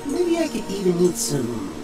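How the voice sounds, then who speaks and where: A woman's voice: lowish voice, wildly swooping pitch, normal speed, roomy sound. A woman playing a captive child who frets alone and plots an escape in a video game cutscene. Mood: worried. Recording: distant voice under music.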